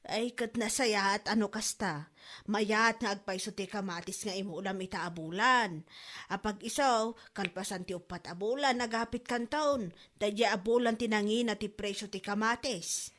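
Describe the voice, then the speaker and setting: A woman acting a scolding mother in a comedy drama who talks in loud nagging exclamations. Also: mid-range voice, normal speed, natural voice. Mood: advising. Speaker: Nana, a mother.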